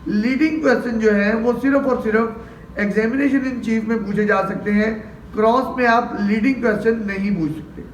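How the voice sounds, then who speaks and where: A man's voice: high-pitched, fairly even intonation, moderate pace. A man with a Pakistani accent giving an explanatory law lecture in a didactic way, posing questions and then answering them. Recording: roomy, distant mic, faint noise.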